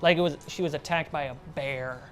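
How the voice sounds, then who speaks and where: A woman's voice: low-pitched, fairly even intonation, brisk. A woman narrating a tabletop role-playing game as game master.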